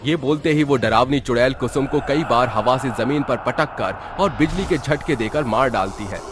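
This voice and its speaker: A man's voice: mid-range voice, moderate pace, background noise. A man reading a scripted story as its narrator. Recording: music behind the voice. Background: background music.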